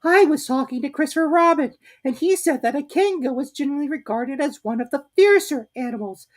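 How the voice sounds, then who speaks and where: A tiny, nervous pig from a children's storybook, voiced by a woman. The pitch sits way up high and moves about in a lively way, timid and fidgety but very earnest. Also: a little fast. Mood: anxious. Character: Piglet, very small, timid, anxious young male pig.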